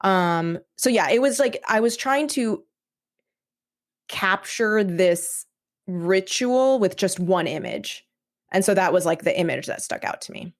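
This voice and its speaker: A woman's voice: medium pitch, lively intonation, a little slow. A woman guest on a podcast explaining her own audio drama in casual chat, thinking aloud with many "like"s.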